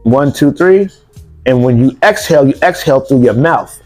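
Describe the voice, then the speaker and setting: Man coaching breathing, slow steady cues. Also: medium pitch, soft background music. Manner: instructive, counts breaths aloud, repeats cues. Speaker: self-defense instructor. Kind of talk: demonstration lesson.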